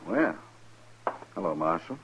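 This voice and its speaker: An American actor playing a courteous, official army lieutenant in a 1950s radio western. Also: male voice, deep voice, big pitch swings, unhurried, full character voice. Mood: polite, official. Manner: polite, official. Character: brisk, courteous cavalry lieutenant hunting a deserter. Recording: muffled, faintly noisy vintage radio.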